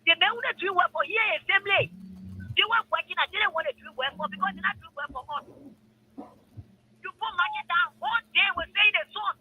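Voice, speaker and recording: A woman's voice: very high-pitched, a little fast, bright, forceful tone, slight background noise, low-quality recording. A middle-aged woman with a Liberian accent, a phone-in caller complaining informally and passionately, repeating phrases for emphasis.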